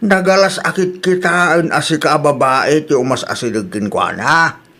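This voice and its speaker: A man with an Ilocano accent acting a grumpy, skeptical father who scolds his daughter in a gruff way. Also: on the high side, normal speed, sharp tone. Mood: grumbling.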